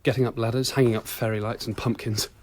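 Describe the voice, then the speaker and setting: A man's voice: mid-range voice, little pitch movement, fast talker, lightly acted. An actor playing a matter-of-fact young male college student in a radio drama. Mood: matter-of-fact, cooperative. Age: young adult.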